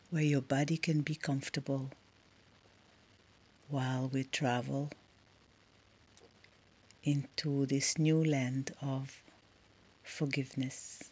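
Woman, meditation guide, soft and soothing, gravelly fry, unhurried short phrases, slightly muffled recording. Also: low-pitched.

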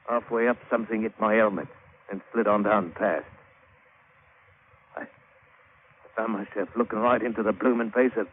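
Actor as a low-voiced British sailor spinning a yarn. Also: male voice, lightly acted. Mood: grim, tense. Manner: colloquial old-salt storyteller with rustic grammar. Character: plain-spoken working-class sailor-diver telling his grim adventure. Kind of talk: 1940s-50s radio drama acting and narration. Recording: muffled, narrow-band, hissy old radio broadcast.

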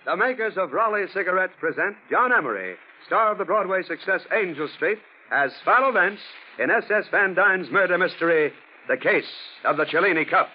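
Animated higher-voiced vintage radio announcer, male. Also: fairly quick, loud music behind the voice. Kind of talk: 1940s radio announcing and sponsor pitch. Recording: muffled, slightly noisy old radio recording.

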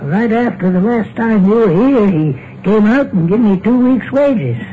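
A man's voice: high-pitched, normal speed, a little hiss or hum, natural voice. An actor playing an anxious, loyal male servant in a 1950s radio drama. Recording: tinny old radio broadcast.

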